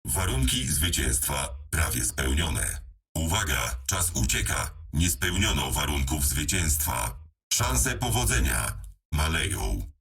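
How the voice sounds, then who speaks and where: Robot mech voice for a game, super deep n raspy, clipped military callouts, robotic filter. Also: male voice, very animated, fairly bright tone, distant mic, full character voice. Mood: coolly commanding. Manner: terse, deliberate machine-like delivery. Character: robotic battle mech computer speaking for a hamster pilot. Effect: robotic voice processing. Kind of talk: clipped military status announcements.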